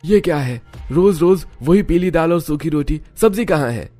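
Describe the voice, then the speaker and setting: A man voicing an angry, rude young village husband in a cartoon, loud, demanding and scolding. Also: a little high, lively intonation, moderate pace, soft background music, voice pushed up, close to an everyday voice. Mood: disgusted, angry.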